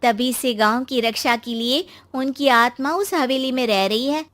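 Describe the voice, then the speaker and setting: A woman's voice: high-pitched, moderate pace, a bit bright, no echo. A woman voicing a worried older villager in a story, earnestly explaining and cautioning.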